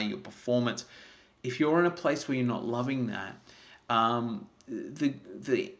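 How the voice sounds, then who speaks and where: An earnest man talks through a motivational livestream. His voice sits at an ordinary mid pitch, and he often repeats words and restarts his sentences as he thinks aloud. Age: 40s. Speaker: health vlogger. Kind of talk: live-streamed motivational talk.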